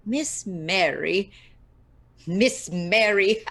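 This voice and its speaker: A woman playing a vain, easily flattered diva with a dramatic, affected way of talking in a radio drama. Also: medium pitch, extremely slow, exaggerated voice. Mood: wistful, flattered.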